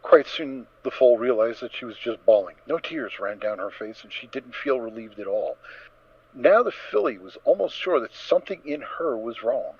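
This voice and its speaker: A man narrating a story as a dramatic reading, in an expressive, theatrical manner. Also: medium pitch, normal speed, husky. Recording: slightly dull, dry close recording.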